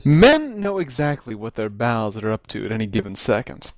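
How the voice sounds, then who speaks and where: A man giving a dramatic reading of a humorous first-person story, with deliberate, theatrical phrasing and drawn-out emphasis. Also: medium pitch, very animated. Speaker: amateur reader of a comic story. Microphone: close computer mic on voice chat.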